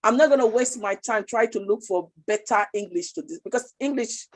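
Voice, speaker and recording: A woman's voice: a little high, brisk. Passionate Nigerian woman, emphatic. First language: Nigerian language. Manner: passionate, emphatic, repeats phrases. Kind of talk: casual talk show chat.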